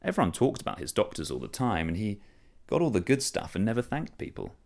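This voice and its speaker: A man reading a short story aloud, telling it in a measured, wry first-person voice. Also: fairly low pitch, sing-song, creaky, darkish tone. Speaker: story reader. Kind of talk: scripted narration of a short story.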